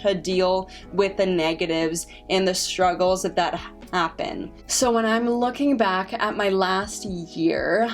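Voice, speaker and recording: Young woman, vlogger, stutter with repeated sounds, slow reflective delivery, faint music underneath. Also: mid-range voice, fairly even intonation. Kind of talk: casual talk to camera.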